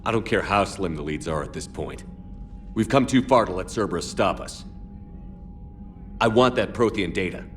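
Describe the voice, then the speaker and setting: A man's voice: low voice, moderate pace, pauses often, fairly bright tone, faint music behind. A man playing a battle-weary, resolute military commander in a dramatic video game. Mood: frustrated, commanding.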